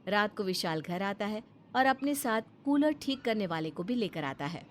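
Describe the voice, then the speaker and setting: A woman's voice: medium pitch, lively intonation, normal speed, a little hiss or hum. A woman giving scripted narration of a family story as its narrator.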